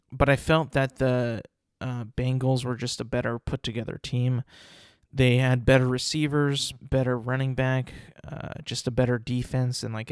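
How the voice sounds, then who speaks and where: Brief: young male podcast host, stuffy nose, loose rambling delivery full of fillers, mid-pitched voice, dry close sound. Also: clear, resonant tone, no echo. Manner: rambling, many fillers and restarts. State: congested, sniffling. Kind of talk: casual solo podcast talk.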